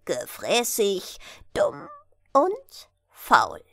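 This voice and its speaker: A woman's voice: mid-range voice, wildly swooping pitch, extremely slow, creaky, no room sound, clean studio sound, exaggerated voice. A woman voicing a flock of cheeky sparrows, chanting mocking rhymes in a sing-song way.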